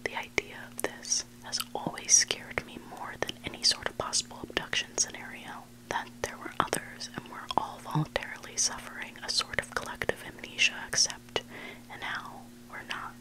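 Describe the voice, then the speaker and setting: A person whispering a story in ASMR style as a host, hushed and deliberate, pausing between short phrases. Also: low-quality recording. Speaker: ASMR host reading submitted online stories.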